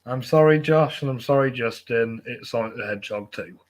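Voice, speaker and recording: A man hosting a film podcast, chatting casually and haltingly, with false starts along the way. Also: mid-range voice.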